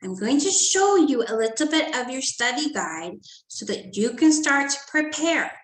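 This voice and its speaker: A woman teacher reading a story to young children and going over a study guide, talking gently and checking in with "okay?" often. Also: high-pitched, sing-song, normal speed, reverberant, rough recording. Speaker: first grade teacher.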